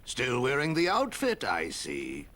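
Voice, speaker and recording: An older man playing a dignified, wary but jolly Father Christmas in a cartoon game, measured and grandly expressive. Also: mid-range voice, heavily acted. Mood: wary, stern. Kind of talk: video game cartoon voice acting.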